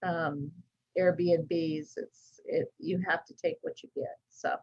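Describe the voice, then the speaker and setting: A woman's voice: low voice, fairly even intonation, takes it slowly, darkish tone, husky. A talkative woman author chatting on a video call, laughing easily as she rambles. Her voice comes through slightly dull and lo-fi. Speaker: guest author. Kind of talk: casual video chat.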